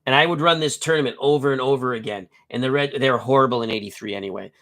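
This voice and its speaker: Middle-aged male stream host, stuffy and nasal, chatty and jokey, average pitch, reads stats in short bursts. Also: rapid-fire, a bit bright. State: congested and nasal from a cold. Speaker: host of a tabletop baseball live stream. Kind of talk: casual live-stream chat and lineup reading.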